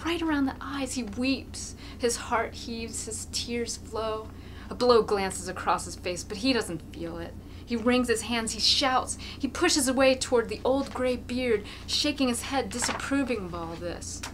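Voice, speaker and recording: A woman's voice: on the high side, measured pace, slight background noise, low-quality recording. A woman amateur reader giving an intense, theatrical narration of a classic novel.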